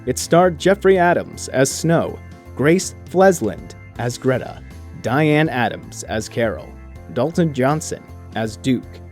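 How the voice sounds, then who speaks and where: A man's voice: medium pitch, darkish tone. A man reading scripted closing credits as the announcer of a radio drama.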